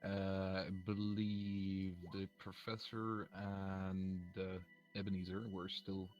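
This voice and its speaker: Slow, deep-voiced man running a game. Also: monotone, darkish tone, dull audio, soft background music. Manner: hesitant, lots of ums and uhs. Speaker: game master. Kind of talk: narrating a tabletop game.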